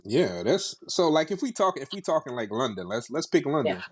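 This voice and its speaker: An American man hosting a casual podcast interview, speaking in an animated way and often repeating words as he starts sentences. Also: medium pitch, brisk, husky. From United States. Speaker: podcast host.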